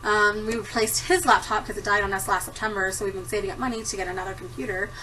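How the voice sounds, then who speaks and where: A woman's voice: medium pitch, fairly even intonation, fast, bright, forceful tone, recorded from a distance, a little hiss or hum, rough recording. A woman in her thirties chatting casually to camera, giggling often and rambling with lots of ums. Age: 30s. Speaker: vlogger answering a tag. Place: home room at a desktop computer. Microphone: computer camera mic.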